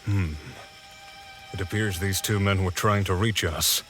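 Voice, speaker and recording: Man acting a deep, commanding guard captain. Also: steady tone, fairly bright tone, faint music behind. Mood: grave, puzzled. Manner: authoritative, measured. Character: commanding male captain of elven forest guardians. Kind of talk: fantasy audio drama acting.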